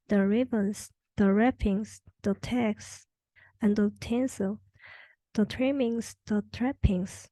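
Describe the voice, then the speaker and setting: A woman's voice: on the high side, dark tone, no echo, poor audio. A young adult woman reading a children's rhyming story aloud as the narrator. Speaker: storybook reader.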